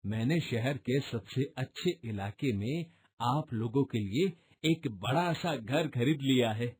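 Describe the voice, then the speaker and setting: Man acting a slow-speaking earnest young man, husky. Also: mid-range voice, expressive, a little mellow. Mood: proud, caring. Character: earnest young man from a poor family, emotional. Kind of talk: dramatic cartoon story dialogue.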